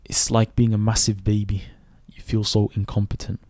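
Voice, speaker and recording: A young man in his twenties who grew up in Sweden, hosting a reflective solo podcast and speaking thoughtfully, with pauses and self-corrections. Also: fairly low pitch, little pitch movement, darkish tone, dry recording. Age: 20s. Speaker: podcast host.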